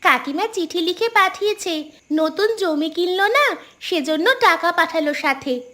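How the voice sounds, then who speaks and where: A woman voicing a cheeky, sensible, outspoken young village granddaughter in an animated story. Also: very high-pitched, measured pace, heavily acted. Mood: chatty. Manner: pert, outspoken. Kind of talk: Bengali animated story dialogue.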